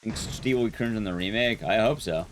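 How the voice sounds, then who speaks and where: A young man with a loud, carrying voice chatting casually with his viewers while streaming a game, rambling and restarting sentences as he goes. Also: a little fast, slight background noise. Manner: rambling, restarts sentences, lively rises and drops in pitch. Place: his room at home, soundproofed.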